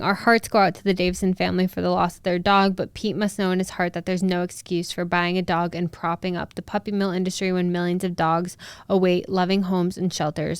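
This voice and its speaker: A woman's voice: fairly low pitch, gravelly, smooth, no echo, clean studio sound. A young woman co-hosting a podcast, recapping news in an informal, conversational way. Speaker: podcast co-host.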